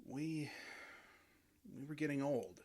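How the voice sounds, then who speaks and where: A man's voice: a little high, lively intonation, takes it slowly, dark tone. A man playing a panicky, exasperated young husband in a horror audio drama, blunt and agitated in his talk. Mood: frightened. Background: background music.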